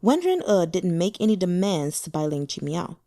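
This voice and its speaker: A woman narrating a translated fantasy novel in a lively, expressive reading. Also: lowish voice, normal speed, no echo, studio quality. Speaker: audiobook narrator.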